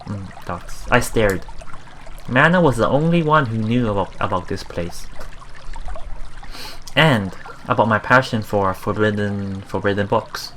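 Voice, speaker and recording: Man, slow delivery, bright tone, let's play host, reading aloud with halting casual commentary, faint background ambience. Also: lowish voice, a little hiss or hum. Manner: halting, musing reactions.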